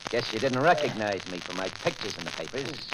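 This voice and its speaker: A sardonic American gangster boss, played by a male actor in a vintage radio crime play. He talks slangy and tough in a lowish voice, full of cool menace. Crackle runs clearly through the old recording. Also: animated, fairly quick, lightly acted. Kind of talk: 1940s radio crime drama acting.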